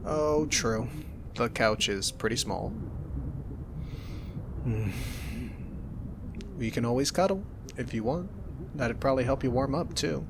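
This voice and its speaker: A young man doing an ASMR roleplay as a roommate, talking softly in a teasing, reassuring way. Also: mid-range voice, slow pace, pauses often, noisy background.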